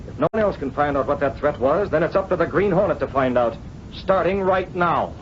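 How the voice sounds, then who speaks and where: An actor with an American accent playing a resolute publisher and secret masked vigilante, commanding and clipped, in vintage radio drama style. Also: male voice, on the high side, animated, fairly quick, lightly acted. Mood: determined. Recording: muffled noisy old radio recording.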